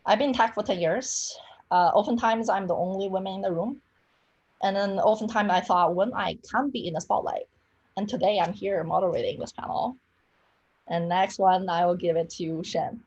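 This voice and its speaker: A woman in her thirties moderating a webinar panel, friendly, with simple phrasing and brisk introductions. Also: medium pitch, a little mellow, breathy edge, highs cut off. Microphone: video call.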